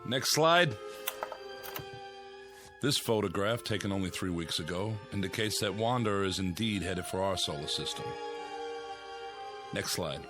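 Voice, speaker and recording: An actor playing a stern military general giving a formal briefing in a radio drama. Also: male voice, low voice, animated, a little slow, long pauses, over music. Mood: grave. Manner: formal, authoritative.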